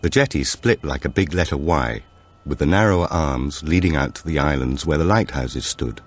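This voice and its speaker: A man reading a thriller audiobook in a measured, dramatic storytelling manner. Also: a bass voice. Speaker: audiobook narrator. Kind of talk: scripted thriller narration.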